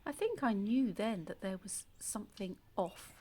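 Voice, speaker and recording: An actress playing a damaged, bitter woman in an audio play monologue, confiding and quietly menacing, often dropping close to a whisper. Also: medium pitch, lively intonation, measured pace, husky. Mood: dark, menacing.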